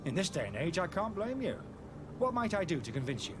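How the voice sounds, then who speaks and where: A man playing a pompous, theatrical charlatan in a video game, selling potions with smooth, grandiose showman's patter. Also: sits high, big pitch swings, a little fast, over music. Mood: smooth, courteous.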